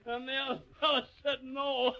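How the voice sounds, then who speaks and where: A man's voice: extremely high pitch, vocal fry, sharp tone, heavily acted. An actor playing a folksy neighbour in a 1930s radio comedy, forever giggling at his own corny jokes. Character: folksy, self-amused corny joker, male neighbour. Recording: noisy, dull vintage radio recording.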